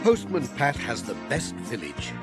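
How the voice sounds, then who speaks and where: A man's voice: medium pitch, wildly swooping pitch, unhurried, vocal fry, over loud music. A man reading a scripted children's story as the audiobook narrator.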